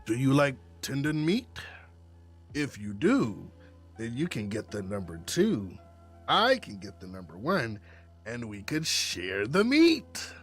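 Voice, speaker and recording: A streamer voicing a polite, considerate young male coworker in a visual novel, calm and measured. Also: mid-range voice, husky, faint music behind, pitched below the performer's own voice, heavily acted. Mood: friendly, casual.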